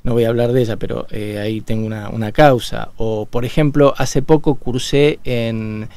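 A man from Buenos Aires with a Rioplatense Argentine accent, a guest on a radio interview, explaining things in a measured way with frequent pauses and filler sounds, recorded in a radio studio. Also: medium pitch, steady tone, darkish tone. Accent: Argentine Rioplatense Spanish.

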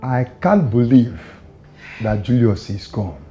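A man's voice: medium pitch, big pitch swings, takes it slowly, dark tone, muffled recording, soft background music. An actor playing a blunt, suspicious male relative. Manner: blunt, doubting.

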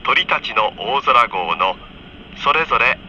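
A man reading a scripted onboard train announcement for children in friendly, polite, clearly enunciated phrases, heard over a train PA. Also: medium pitch, expressive, measured pace, bright, forceful tone. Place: train car, over the PA system. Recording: dull train PA with faint noise.

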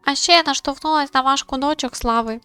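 Naive, excitable young female neighbour for a visual novel game, very high voice played by a woman. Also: quick pace, dry recording, soft background music. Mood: curious, impressed. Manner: excitable, gushing.

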